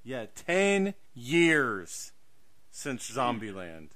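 A man's voice: mid-range voice, sing-song, extremely slow, a bit bright. A man co-hosting a podcast, chatting casually about horror films with showy emphasis. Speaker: podcast co-host. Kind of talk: casual horror film chat.

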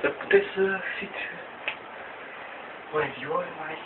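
A man in his thirties from Romania rambles haltingly through a casual, improvised exercise demonstration at home, swearing mildly. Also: on the high side. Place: small room at home. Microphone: webcam mic at a distance. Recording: tinny noisy webcam audio, distant mic.

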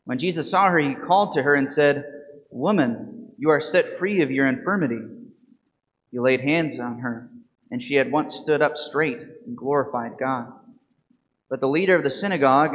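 A man in his thirties, a priest, reads the gospel and gives a calm, measured homily full of questions to the congregation. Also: mid-range voice. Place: church sanctuary at a lectern. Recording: reverberant church from a lectern mic.

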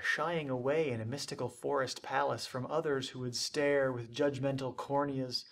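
A young man gives a mock-serious dramatic poetry reading, deliberate and theatrical, with weighty pauses and swells. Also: medium pitch. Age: young adult. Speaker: performer reciting bad poetry. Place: indoors, dark studio stage set.

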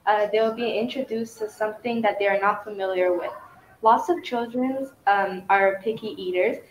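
A woman's voice: mid-range voice, steady tone, normal speed. Girl student, hesitant, echoey classroom, faint background noise. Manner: short, hesitant answers. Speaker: student guest.

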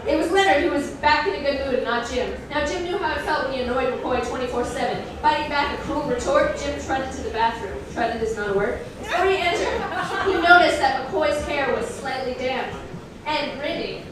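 A high-pitched woman reads comically to a live crowd, heard from a distance in a very echoey room. She delivers the text with mock drama, then steps out of it for wry, nerdy commentary with an audience audible around her. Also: brisk. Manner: self-deprecating, nerdy enthusiasm; mock-dramatic reading broken by deadpan commentary. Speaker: performer reading fan fiction on stage. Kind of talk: comedic live reading with wry asides. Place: indoor live comedy reading event before an audience. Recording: roomy echo, distant mic, audience crowd noise. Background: audience laughter.

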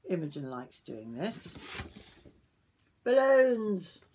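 A woman in her sixties reading a picture book aloud to young children like a grandmother, expressively, with drawn-out words and little asides to the listener. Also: low-pitched, expressive, background noise. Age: 60s. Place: small wooden summerhouse.

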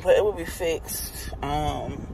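A woman's voice: low-pitched, slow pace, gravelly. A woman in her thirties chatting casually to her viewers from a parked car, calling them y'all. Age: 30s. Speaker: vlogger. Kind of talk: casual chat to camera. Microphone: phone or camera on dashboard. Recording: noisy car interior.

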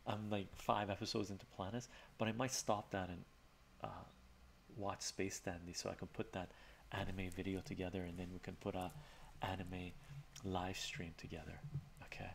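A middle-aged man, Armenian by birth, chats in a relaxed, rambling way with fillers, as a livestream host in his home study. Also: low voice, moderate pace, gravelly, slight background noise. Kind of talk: casual soft-spoken chat with viewers.

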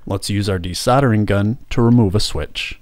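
A man's voice: on the low side, moderate pace, noisy background. A man hosting a DIY repair tutorial, explaining each step as he goes. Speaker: video host.

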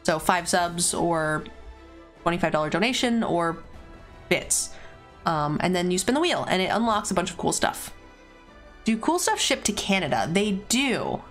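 A woman live-streaming a card game, a little raspy as she is losing her voice, chatting casually and answering viewers' questions between plays. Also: on the low side, big pitch swings, moderate pace, faint music behind.

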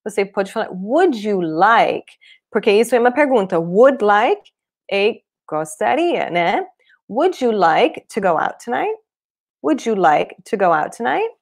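Slow-paced woman teacher, native English speaker. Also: mid-range voice, big pitch swings. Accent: English-speaker accent in Portuguese. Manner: clear, deliberate explaining with repeated examples. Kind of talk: live online language lesson. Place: small room indoors, at a desk.

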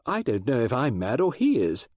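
A man voicing a brisk, chatty middle-aged woman novelist who rambles with strong emphasis. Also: wildly swooping pitch, a little mellow, dry recording, studio quality. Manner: rambling, emphatic, high-pitched.

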